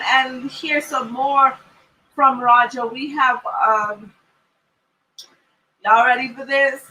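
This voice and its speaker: A woman from Trinidad and Tobago with a Trinidadian accent, hosting an online talk show in a lively, teasing way. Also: a little high, recorded from a distance, poor audio. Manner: lively, laughing and teasing guests. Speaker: host.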